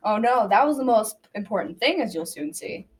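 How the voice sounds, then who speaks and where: A woman's voice: a little high, animated, brisk, lightly acted. A school-age girl playing a folksy narrator, reading a scripted folktale in an amateur radio drama. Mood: folksy, storytelling. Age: child about 12 to 13. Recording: distant mic, faint noise.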